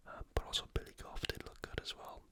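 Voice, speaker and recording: An ASMR host delivers casual commentary entirely in a whisper. The voice is airy and breathy, with no real voicing. The delivery is conversational, like chatting through a match or a game. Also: quick pace.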